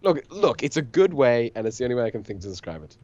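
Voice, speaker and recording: A man's voice: mid-range voice, sing-song, fast, darkish tone, lo-fi sound. A man from South Africa co-hosting a casual gaming stream, playful and rambling, making up words as he reads the chat. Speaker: co-host reading chat.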